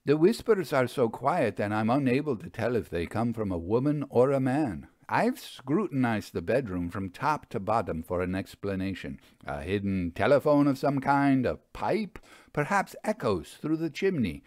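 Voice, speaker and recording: A man voicing a troubled, solemn male magician in an audio story, speaking in a halting, deliberate way. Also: medium pitch, sing-song, a little mellow, no room sound, studio quality, close to an everyday voice. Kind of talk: audio storybook character acting.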